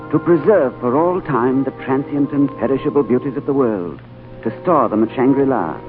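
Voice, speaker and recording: An earnest, wonder-struck man recounts his tale, voiced by a radio actor, his pitch rising and falling freely. The sound is thin and phone-like, as on an old broadcast. Orchestral music runs underneath. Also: close to an everyday voice. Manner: earnest, expressive storytelling. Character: male diplomat narrator, awed and searching. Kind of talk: 1930s radio drama acting.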